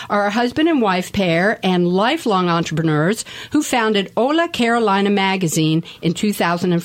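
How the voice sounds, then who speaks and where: A woman's voice: fairly low pitch, no echo. A woman hosting a radio show in a chatty, folksy, enthusiastic way, talking directly to listeners and guests. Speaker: radio travel show host. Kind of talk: upbeat radio hosting and conversational interviewing.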